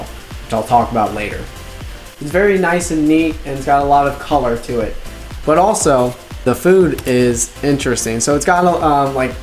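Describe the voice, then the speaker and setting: A young man in his twenties casually reviewing restaurants to camera, relaxed and chatty, with lots of fillers and "all right?" check-ins. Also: mid-range voice. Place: indoors on a couch in a living room. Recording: roomy echo, faint background music.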